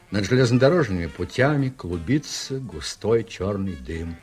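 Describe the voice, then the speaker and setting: A man reading dramatic scripted narration for a radio play in an expressive, literary manner. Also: fairly low pitch.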